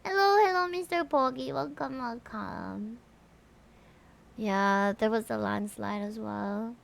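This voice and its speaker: A woman with a Filipino accent chatting casually and excitably on a livestream, often exclaiming as she reacts to a game. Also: fairly high pitch, takes it slowly, creaky.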